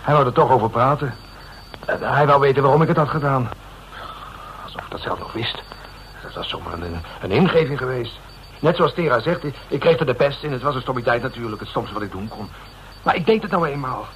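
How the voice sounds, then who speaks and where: A man's voice: mid-range voice, fairly bright tone, natural voice. Man acting a guilt-ridden, stammering man, noisy recording. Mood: guilt-ridden, anguished. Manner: halting, stammering, repeats words. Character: frightened, guilt-ridden man confessing to his detective colleagues. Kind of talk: Dutch radio drama acting. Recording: noisy old radio drama recording.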